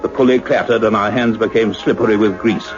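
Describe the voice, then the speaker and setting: Male, acting grave, intense doctor of science in radio drama; lowish pitch, muffled lo-fi recording with faint music. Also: fairly even intonation, lightly acted. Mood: awed, tense narration. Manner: deliberate, intense, dramatic phrasing. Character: earnest male scientist, doctor, excited yet grave and cautious.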